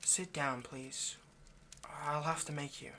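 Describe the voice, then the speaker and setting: A man voicing an ageless vampire lover in an intimate audio roleplay, possessive yet remorseful, speaking in a halting, earnest, pleading way. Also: a little high, steady tone, sharp tone, slight background noise, exaggerated voice. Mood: commanding, urgent. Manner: halting, earnest, pleading, dropping to near-whisper.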